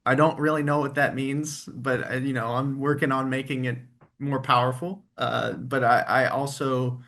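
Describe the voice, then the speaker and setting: A man's voice: on the low side, a bit bright. Chatty, easygoing man. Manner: friendly, rambling, laughs easily. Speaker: guest, tribute performer and engineer. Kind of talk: casual interview chat.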